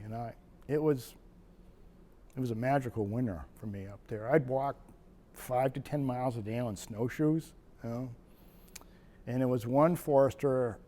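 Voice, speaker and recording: Older man, casual reminiscing, rambling, chuckles often, middle pitch. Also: dark tone. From Maine, USA. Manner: rambling, chuckling storyteller with frequent restarts. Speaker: retired wildlife biologist. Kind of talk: reminiscing personal story.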